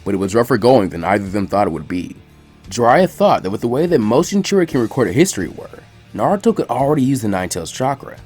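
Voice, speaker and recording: A man reading a story aloud as an animated narrator. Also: mid-range voice, fast talker, slightly rough, soft background music. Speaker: fan fiction reader.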